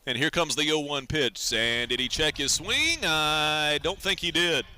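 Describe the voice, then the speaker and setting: Very bright-voiced American baseball announcer, male. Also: a little high, animated, measured pace. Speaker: baseball radio play-by-play announcer. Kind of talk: live sports radio commentary.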